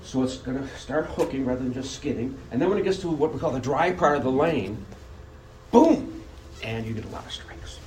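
An older American man giving an emphatic public speech with personal anecdotes and dramatic pauses. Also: medium pitch, animated, a little mellow. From Buffalo, United States. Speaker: club speaker. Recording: noisy live room recording.

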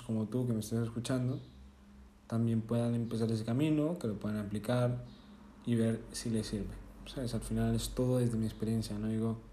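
Young man, reflective monologue, mellow dark tone, roomy echo. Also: medium pitch, slight background noise. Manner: casual and earnest, with self-corrections and tag questions. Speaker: vlogger.

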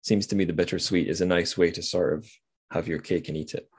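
A man's voice: sits low, fairly even intonation, a little fast, darkish tone, slightly rough. A man hosting a podcast, talking casually about literature in a rambling, musing way as he thinks aloud. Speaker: podcast host.

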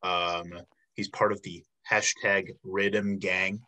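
A man's voice: deep voice, flat delivery, slow pace, bright, forceful tone. A man in his twenties from Illinois hosting an interview podcast in a friendly, chatty way, with plenty of fillers. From Illinois, United States. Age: 20s. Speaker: podcast host.

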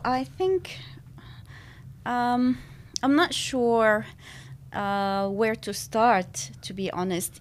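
A woman from Syria, in her thirties, answering interview questions thoughtfully, with many pauses and fillers. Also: medium pitch, a little hiss or hum. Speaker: podcast guest.

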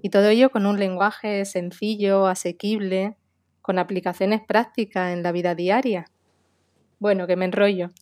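A woman from Spain with a Spanish accent sends a steady, articulate voice message. The recording is dry, with no room sound around her. Her pitch sits in a middle range. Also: normal speed, dry recording. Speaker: listener sending a voice message.

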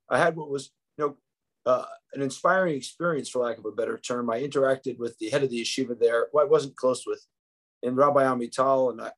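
A man in his fifties with a New York accent, speaking as a podcast guest. He tells his story in an easy, reflective way, often restarting a phrase with small fillers. His voice sits at an ordinary middle pitch. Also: rough recording. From United States. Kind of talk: conversational interview storytelling.

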